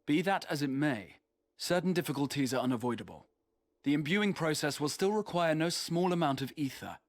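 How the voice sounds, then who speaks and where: A man playing an earnest, courteous scholarly mage in a video game, speaking in formal, measured, archaic phrasing. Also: on the high side, lively intonation, no room sound. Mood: explaining, courteous. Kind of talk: scripted video game cutscene acting.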